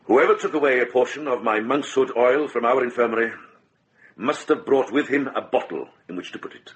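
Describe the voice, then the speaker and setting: An actor playing a shrewd, compassionate middle-aged monk in a radio drama, speaking with grave, measured deliberation. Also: male voice, mid-range voice, lightly acted. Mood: grave, accusing.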